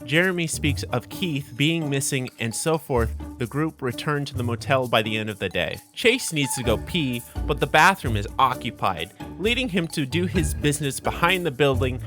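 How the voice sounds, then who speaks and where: A man's voice: mid-range voice, expressive, normal speed, few pauses. A man giving a scripted, informal story recap with wry, joking asides. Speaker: video narrator recapping a visual novel. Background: music under the voice.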